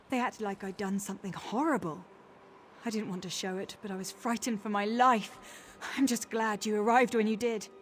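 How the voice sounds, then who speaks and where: An actress voicing a proper, indignant orc high priestess in a fantasy game, formal, earnest and emphatic. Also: female voice, slight background noise, natural voice. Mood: shaken.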